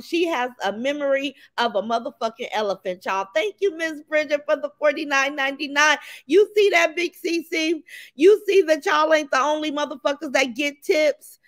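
A woman with a raspy voice hosting a casual livestream, reading out chat names and talking bluntly and profanely. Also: high voice, dry recording. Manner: brash and profane, reads chat names aloud. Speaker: livestream host.

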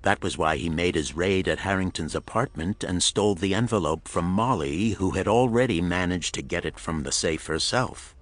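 A man's voice: low voice, moderate pace, few pauses. A man narrating a pulp thriller audiobook in a dramatic, scripted storytelling style.

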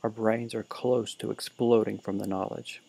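Deadpan man narrating a mock documentary. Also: on the low side, normal speed, gravelly, husky, no echo. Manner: deadpan, listing roll call. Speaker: narrator of a class parody film.

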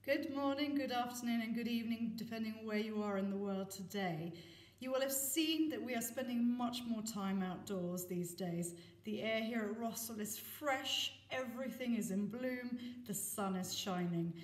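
A woman's voice: fairly high pitch, normal speed. A middle-aged woman, a deputy head, giving a prepared school assembly address to camera. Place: small office indoors with bare walls. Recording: echoey room, slightly distant mic.

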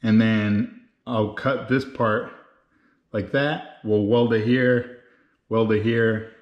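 A man's voice: lowish voice, fairly even intonation, slow, lo-fi sound. A man in his thirties giving a casual, informal step-by-step how-to commentary as he works on a build. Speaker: motorcycle builder and video host. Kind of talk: casual how-to vlog narration while working. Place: home garage with hard floor. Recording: roomy, echoing garage sound.

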